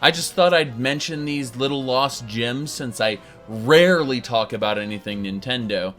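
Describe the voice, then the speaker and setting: A man talking through a retro game review in a chatty, enthusiastic way. His voice sits in an ordinary middle range. Faint music runs softly under his talk. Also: medium pitch, moderate pace. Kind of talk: casual video review.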